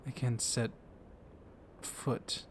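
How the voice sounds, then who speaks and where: A man playing a seductive, softly dominant vampire librarian in an ASMR roleplay, speaking in a hushed, flirtatious way with lingering pauses. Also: slight background noise. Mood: flirtatious, confiding. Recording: loud rainstorm ambience with thunder. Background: rain and thunder ambience.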